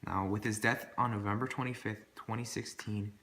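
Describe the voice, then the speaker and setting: Male amateur newsreader, lowish bright voice, scripted bulletin delivery, recorded in an echoey room. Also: fairly even intonation, normal speed. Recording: echoey room with faint background noise.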